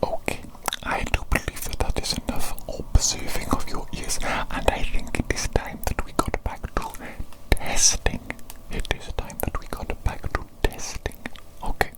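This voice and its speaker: A woman playing an eccentric, busy scientist doctor in a whispered ASMR medical roleplay, speaking deliberately and repetitively with formal wording. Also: close to an everyday voice, low-quality recording. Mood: methodical, insistent.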